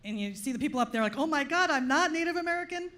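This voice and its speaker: A woman with a Long Island New York accent works a comedy club stage, landing dry, self-deprecating punchlines. Her voice sits a little higher than most women's. A faint room hum runs under her mic. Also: expressive, racing, a bit bright. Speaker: stand-up comedian. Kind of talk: stand-up comedy set.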